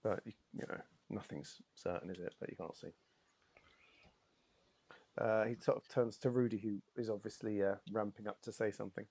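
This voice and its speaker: A man's voice: lowish voice, steady tone, moderate pace, creaky, dry recording. Mild-mannered man running the game. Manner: gentle, hesitant narration. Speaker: game master. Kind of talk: narrating a tabletop game.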